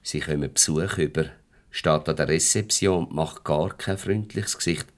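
An actor playing a fawning, gossipy office courier in a radio comedy, playful and obsequious, with a Swiss German accent. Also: male voice, extremely low pitch, normal speed.

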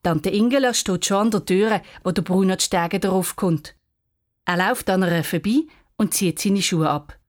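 A woman's voice: mid-range voice, normal speed. A woman narrating a scripted children's story in Swiss German as the storyteller.

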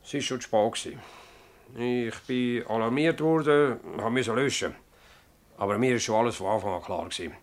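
A man's voice: medium pitch, natural voice. A man acting an agitated, evasive village mayor in a radio play, hedging and emphatic, with a Swiss German accent. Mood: earnest, agitated. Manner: hedging, emphatic, halting when pressed. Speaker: actor in a dialect radio play. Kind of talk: dialect radio drama acting.

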